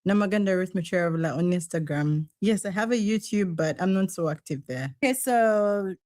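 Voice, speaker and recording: A woman from Uganda answering an interview question in a measured, casual way, with some um fillers. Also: lowish voice, a little mellow, no echo. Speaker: guest.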